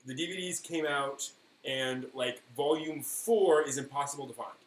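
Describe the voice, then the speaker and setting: Male streamer, mid-range voice, rambling and conversational with stressed key words; echoey room, faint background noise. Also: mid-range voice. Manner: halting, thinking aloud, emphatic on key words. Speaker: live stream host. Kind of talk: casual rambling chat, answering viewers.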